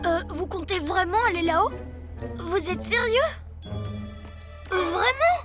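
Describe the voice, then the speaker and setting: A woman voicing a timid, doubtful six-year-old boy superhero in a cartoon, hesitant and wide-eyed, with loud music behind. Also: very high-pitched, lively intonation, slow pace, full character voice. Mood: nervous, surprised. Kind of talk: French animated cartoon dub.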